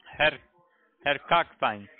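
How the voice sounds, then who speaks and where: A man with a foreign accent in German, making a polite but halting sales call over the telephone. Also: a little high, lively intonation. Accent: foreign, non-native German. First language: not German. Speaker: call centre sales agent. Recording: narrow telephone line.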